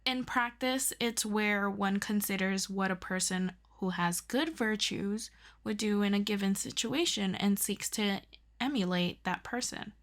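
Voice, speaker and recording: A woman playing an earnest, eager superhero best friend in an audio roleplay, tutoring the listener in a halting, casual, self-deprecating way. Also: medium pitch, lightly acted. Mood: earnest, explaining.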